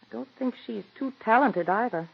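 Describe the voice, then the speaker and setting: An actress in 1940s radio acting, playing an imperious, famous stage actress with a haughty, drawling manner, on a dull old radio broadcast. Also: female voice, on the high side, expressive, moderate pace, creaky, slightly rough, exaggerated voice.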